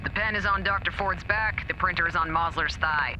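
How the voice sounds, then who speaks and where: Matter-of-fact tech lead, high lively voice, radio link w/ clear noise. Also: male voice, a little fast. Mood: practical, brisk. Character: brisk, practical technical team leader. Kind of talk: naturalistic audio drama acting.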